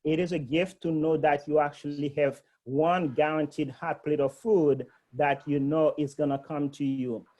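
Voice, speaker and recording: A man's voice: fairly high pitch, steady tone, normal speed, hardly any pauses, warm tone, poor audio. A middle-aged man from Haiti, a priest, earnestly thanking a church outreach team, with many ums and uhs.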